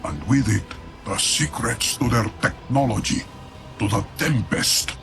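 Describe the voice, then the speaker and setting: An actor playing a proud, shrewd faction leader in a video game cutscene, measured, solemn and theatrical. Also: male voice, medium pitch, gravelly. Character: proud, shrewd male faction leader addressing his general. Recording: loud music behind the voice.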